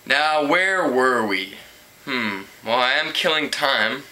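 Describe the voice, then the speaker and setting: A man's voice: mid-range voice, expressive, slow, pushed and bright, poor audio. A man casually reading a teen novel aloud as an amateur storyteller. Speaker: amateur book reader.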